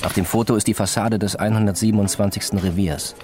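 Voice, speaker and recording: Deep-voiced actor as persuasive policeman. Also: fairly even intonation, quick pace, noisy background, natural voice. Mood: matter-of-fact persuasion. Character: male police officer, coaxing and matter-of-fact deal-maker. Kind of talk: German radio play acting.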